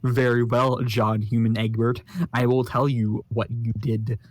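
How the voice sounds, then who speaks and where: A man's voice: medium pitch, little pitch movement, measured pace, no room sound, lightly acted. Man doing a sneering foul-mouthed young troll. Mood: scornful. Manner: insulting, ranting, pompous wordplay. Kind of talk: comic read-along voice acting.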